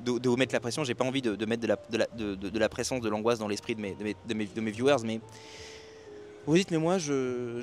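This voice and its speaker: A man's voice: medium pitch, a little hiss or hum. A man giving a rambling motivational monologue to his viewers at night by the sea, with many restarts and fillers. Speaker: self-help and expat business YouTuber.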